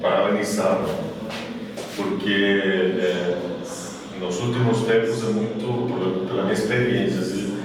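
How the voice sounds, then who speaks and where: A man's voice: lowish voice, fairly even intonation, background noise. Man speaking in an echoey gallery. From Cuba. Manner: halting, many fillers and restarts. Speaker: exhibition visitor giving remarks. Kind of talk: spoken tribute at an art opening. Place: art gallery. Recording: echoey gallery, distant mic, crowd behind.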